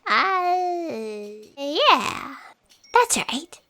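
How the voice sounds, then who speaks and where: Eager, flighty female researcher: high-ish, sharp, very animated, drawn-out phrasing. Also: full character voice. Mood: eager, flustered. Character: eager, scatterbrained female fact-checker.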